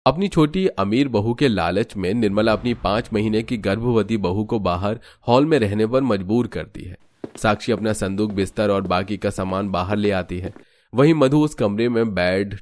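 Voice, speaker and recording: A man's voice: on the low side, darkish tone. A man narrating a scripted cartoon story as a storyteller.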